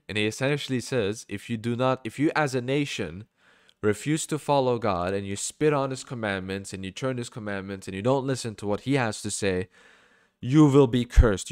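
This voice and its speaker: A man's voice: medium pitch, normal speed, darkish tone, clean tone, no echo, studio quality. Man giving emphatic, informal religious commentary. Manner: emphatic, rhetorical repeated questions, colloquial asides.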